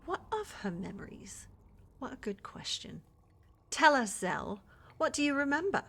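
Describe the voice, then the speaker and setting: A woman playing a flirty, scheming witch in a fantasy ASMR roleplay, with a breathy, teasing, coaxing delivery that often drops to whispers. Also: high-pitched, sing-song, measured pace, a bit bright, heavily acted. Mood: probing, suspicious. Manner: teasing, coaxing, sugary endearments with theatrical menace. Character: flirty, scheming witch who runs a potion shop. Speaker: voice actor in audio roleplay.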